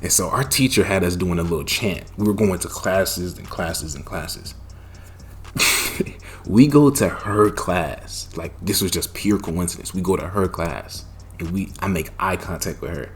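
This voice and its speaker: A young man casually telling a personal story, animated and profane, with loud bursts and lots of slang. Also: low voice, measured pace, slight background noise. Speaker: storytime vlogger.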